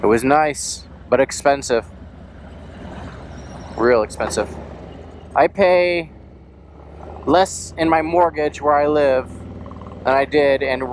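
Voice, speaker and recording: A man casually narrating his motorcycle ride in an informal way, talking to himself and repeating phrases as he goes. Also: medium pitch. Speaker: motorcycle vlogger. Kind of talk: casual narration to camera while out riding. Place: outdoors on a moving motorcycle. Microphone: helmet or action camera mic. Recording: engine and wind noise.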